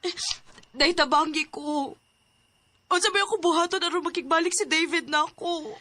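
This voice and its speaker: A woman's voice: very high-pitched, normal speed, pushed and bright, faint music behind. An actress playing a jealous, heartbroken young woman in a radio drama, emotional and pleading. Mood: distraught, pleading.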